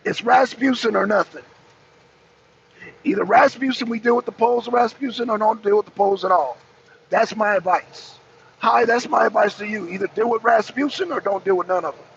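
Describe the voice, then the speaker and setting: An American man with a raspy voice hosting a political talk show, giving impromptu commentary and emphatically repeating his key phrases. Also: high-pitched, moderate pace. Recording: dull lo-fi audio, clear background noise.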